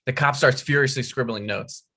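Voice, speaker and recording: A man's voice: medium pitch, normal speed, bright, forceful tone. A man hosting a comedy table read, reading stage directions and introducing scripts casually.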